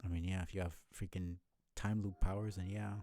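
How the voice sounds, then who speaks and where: A man's voice: low voice, level tone, fairly quick, noisy background. A man streaming a video game with casual, argumentative and sardonic running commentary. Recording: game music behind the voice.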